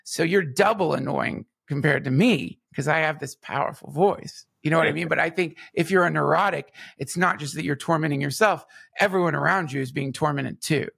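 Middle-aged male podcast co-host, raspy and gravelly, rambling and animated, dry close sound. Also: on the high side, fairly quick, few pauses, dry recording. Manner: animated, rambling with many restarts. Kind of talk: casual conversation.

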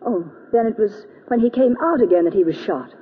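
An actress playing a poised, inquisitive wife in an old radio mystery, soothing yet probing. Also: female voice, mid-range voice, expressive, fairly quick, close to an everyday voice. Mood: alarmed. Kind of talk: 1940s radio drama acting. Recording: tinny, noisy old radio broadcast.

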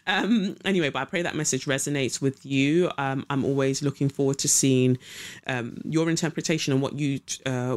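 A woman's voice: very deep voice, darkish tone. Chatty, rambling woman podcaster. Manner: chatty, rambling, swears casually, trails off with ums. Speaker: podcast host. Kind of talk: casual spiritual podcast chat.

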